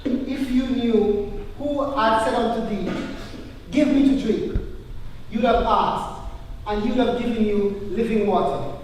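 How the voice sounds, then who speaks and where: Far from the mic in a very reverberant hall, an actor plays a holy male teacher. His voice is high, and he declaims old-fashioned scripture solemnly, with measured emphasis and calm authority. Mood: calm, assured. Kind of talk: live church stage drama, King James scripture recitation. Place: church hall, recorded live. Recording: very echoey hall, distant mic, faint noise.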